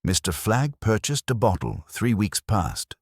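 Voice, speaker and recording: A man voicing a careful village chemist who talks in a measured, formal, faintly gossipy way. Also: lowish voice, sing-song, dry recording, clean studio sound, pitched above the performer's own voice, heavily acted. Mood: discreet, considered. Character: careful, discreet male village chemist with old-fashioned courtesy.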